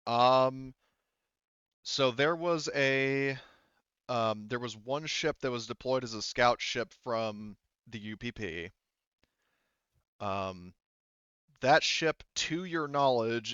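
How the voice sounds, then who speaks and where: A man acting as game master, casually explaining game lore in a halting way with many restarts. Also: mid-range voice, fairly bright tone, clear, resonant tone, dry recording. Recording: slightly dull, dry close mic.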